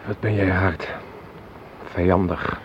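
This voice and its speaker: Old radio play, muffled sound: an earnest young lover with a lowish voice, hurt and pleading. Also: male voice, steady tone, slow pace, background noise. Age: young adult. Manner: pleading, protesting. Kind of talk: Dutch radio play acting. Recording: dull old radio recording.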